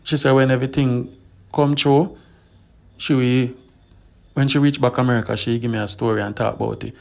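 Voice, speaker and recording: Jamaican man, online host, casual gossip delivery, chatty Patois turns, creaky mid-pitched voice. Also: steady tone, brisk. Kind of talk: casual gossip commentary to followers.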